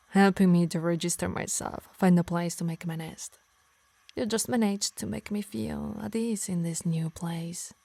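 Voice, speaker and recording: A woman performs an obsessive harpy woman for an ASMR roleplay, speaking very close and dry with a light Italian accent. Her breathy voice drifts into whispers and slow, menacing emphasis, tender and possessive at once. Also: lowish voice, mellow, no room sound. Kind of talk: intimate ASMR roleplay acting.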